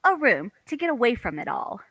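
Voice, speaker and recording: Woman doing a sharp-tongued suspicious housewife, comedy play read, arch, bright swoopy voice, dry sound. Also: on the high side, sing-song, fairly quick, no room sound. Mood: sarcastic, accusing. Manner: arch, pointed, emphatic. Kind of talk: theatrical table read of a comic play.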